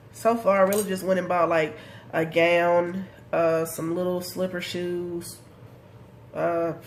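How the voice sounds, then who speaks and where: A woman's voice: on the low side, a little mellow, slight background noise. A woman in her thirties giving a casual video diary update, congested from a head cold and talking in a chatty, rambling way full of ums and likes. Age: 30s. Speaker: vlogger.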